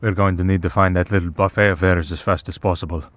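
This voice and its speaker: A man's voice: low-pitched, fairly even intonation, fast talker, no echo, natural voice. A man playing a wry, teasing vampire in a priest's collar for an ASMR roleplay, in a sardonic, conversational way. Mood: wry, planning.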